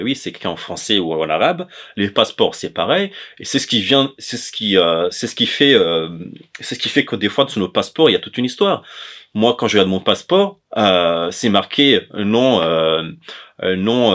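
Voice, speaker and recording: A man's voice: low voice, fairly bright tone. A man from the Comoros, giving an informal explanatory talk in a hesitant way, often stopping to correct himself. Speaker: presenter of an educational chronicle on language.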